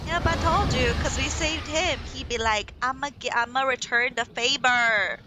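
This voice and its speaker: A woman doing casual live game commentary in an excitable, repetitive, slangy and sweary way. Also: high-pitched, normal speed, background noise. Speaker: gamer, video creator. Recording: loud game music behind. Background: game music and effects.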